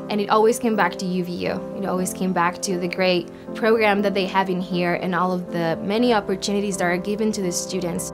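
A young woman from Venezuela, a university student speaking earnestly about her studies in a filmed testimonial. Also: mid-range voice. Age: young adult. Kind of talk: interview testimonial. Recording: music bed underneath.

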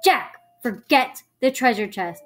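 A woman's voice: high voice, expressive, unhurried, fairly bright tone, faint music behind, voice pushed up. A woman voicing a worried, bossy young girl in a storybook, calling out urgently.

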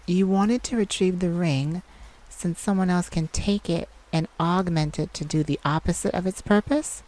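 A woman acting as a sympathetic vampire confidant in an audio roleplay. The voice is low and unhurried. The delivery is intimate and gentle, with a teasing streak and soft sighs between phrases. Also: lightly acted, rough recording. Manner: slow, gentle, soothing, with sighs and pauses.

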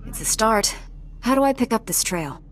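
A woman's voice: on the high side, expressive, normal speed, close to an everyday voice. An actress playing a confident, composed female knight in a sci-fi video game, resolute and assured. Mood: calm, resolute.

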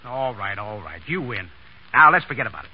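A man's voice: medium pitch, sing-song, fairly quick, breathy edge. An actor playing a brash, bossy, wisecracking man with clipped slang in a 1930s American radio drama. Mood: exasperated. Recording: dull, noisy 1930s radio recording.

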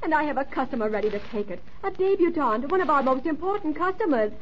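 A woman's voice: sits high, lively intonation, quick pace. A woman playing a fussy, flustered head saleswoman, urgent and dramatic, in a 1930s radio drama. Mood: frantic. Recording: dull, faintly noisy 1930s radio recording.

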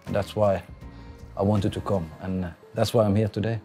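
A man in his forties from Norway with a Norwegian accent, a football coach speaking calmly in an interview, with frequent fillers and restarts. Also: on the low side, moderate pace, music in the background. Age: 40s. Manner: calm, thoughtful, many fillers and restarts. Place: outdoor stadium stands.

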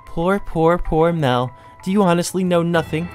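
Man acting unhinged teen girl, high-pitched, mocking, sweetly cruel, lovesick, theatrical, visual novel game, faint music. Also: natural voice. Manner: taunting, theatrical, drawn-out coaxing.

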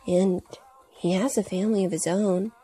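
A woman voicing a gruff, hot-tempered young male hero and devoted husband in a comic dub, blunt and sometimes hesitant. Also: medium pitch, fairly even intonation, moderate pace, mellow, natural voice. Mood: reluctant, thoughtful.

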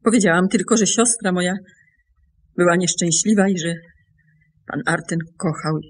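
An actress playing a grave, guarded woman in a radio drama, speaking in a measured, firm and husky voice. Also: fairly low pitch. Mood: firm, pleading. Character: grave, guarded woman keeping her late sister's secret. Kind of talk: Polish radio drama acting.

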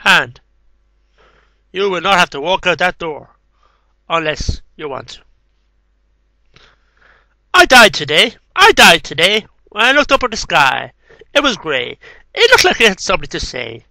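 A man's voice: sits high, big pitch swings, measured pace, creaky, long pauses, pushed and bright, dry recording, poor audio. A man in his thirties reading poetry as an amateur, with casual asides, into a headset mic in a small room. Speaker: amateur poetry reader and video maker. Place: small cluttered bedroom. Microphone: headset microphone.

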